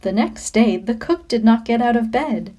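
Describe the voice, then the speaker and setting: A woman reading a children's story like a teacher, with expressive, deliberate phrasing. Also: fairly high pitch.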